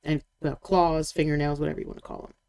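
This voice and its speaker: Wry mid-forties woman, lowish voice. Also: flat delivery, fast talker, creaky, dark tone. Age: 40s. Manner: wry, blunt, joking with swearing. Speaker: co-host painting miniatures on stream. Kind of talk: casual chat.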